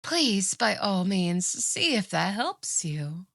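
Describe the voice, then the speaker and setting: Obsessive kitsune yandere played by a woman, ASMR roleplay. Unhurried, smug and sweetly menacing, dry studio sound. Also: medium pitch, very animated, creaky, fairly bright tone, no room sound, exaggerated voice. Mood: taunting. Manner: sugary, boastful, taunting. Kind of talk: scripted ASMR roleplay acting.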